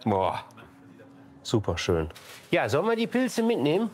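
A man's voice: fairly high pitch, wildly swooping pitch, unhurried, a little mellow. A man hosting a cooking segment, chatting casually and exclaiming with enthusiasm. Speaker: TV cook and show host. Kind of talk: casual on-location chat while cooking.

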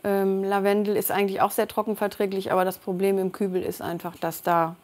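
A woman's voice: mid-range voice, flat delivery, fairly quick, darkish tone. Woman giving informal expert advice. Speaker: studio guest giving gardening tips.

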